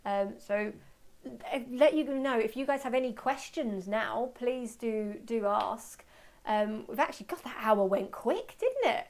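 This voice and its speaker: A woman giving an informal live webinar as a workshop presenter, chatting away in an excitable, self-deprecating manner and taking audience questions. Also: on the high side, measured pace. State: voice slightly going from talking.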